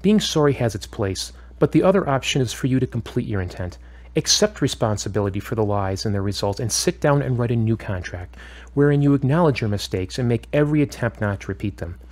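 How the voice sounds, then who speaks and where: A man acting as a calm, firm, probing therapist in a scripted audio drama, with a measured, instructive and questioning way of talking. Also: medium pitch, close to an everyday voice. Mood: stern, firm.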